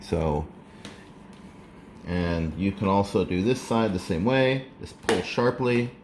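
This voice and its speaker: A man narrating a casual hands-on how-to, explaining step by step in a halting way, recorded indoors. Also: sits low. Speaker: presenter of a how-to video. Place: indoors, living room at a table. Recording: clear background room noise.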